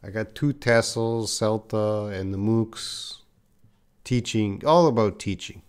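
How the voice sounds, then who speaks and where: A man's voice: on the low side, slow pace, smooth. A man, an English teacher, chatting on a live-stream class and answering viewers' comments as he reads them. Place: in Korea.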